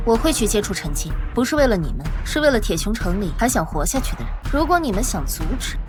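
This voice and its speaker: A woman playing a fearless, assertive young squad leader in an animated apocalypse drama, speaking articulately. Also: on the high side. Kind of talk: animated web-drama dialogue.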